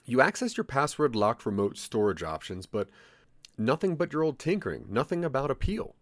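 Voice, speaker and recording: A man's voice: medium pitch, sing-song, normal speed, dry recording, studio quality. A man narrating a scripted audio drama in the second person.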